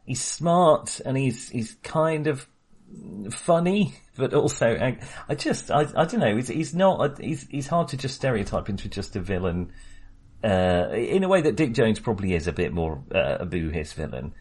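A man's voice: mid-range voice, very animated, normal speed, a little mellow, breathy edge. A man co-hosting a casual film chat, talking hesitantly with many restarts. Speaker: podcast co-host.

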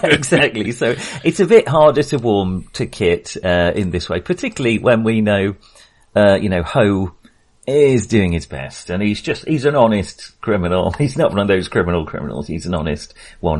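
A man with a fairly low voice chats casually as a film podcast co-host. He rambles in halting phrases and often reworks his sentences midway. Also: expressive.

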